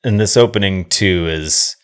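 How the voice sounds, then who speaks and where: A deep male voice in a casual film discussion. He muses as a co-host, circling around his points as he works through an idea. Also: measured pace, smooth. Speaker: podcast co-host discussing films.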